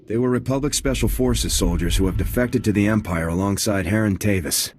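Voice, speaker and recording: An actor playing a firm, no-nonsense military squad commander in a video game, authoritative and matter-of-fact. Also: male voice, on the low side, a little fast, darkish tone, slight background noise, lightly acted.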